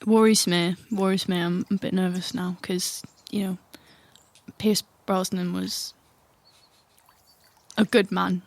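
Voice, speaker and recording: A woman's voice: on the low side, level tone, slow pace, vocal fry, pauses often. A woman player improvising casually in a tabletop role-playing game, hesitant and questioning, close on the mic. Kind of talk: casual in-character improv chat.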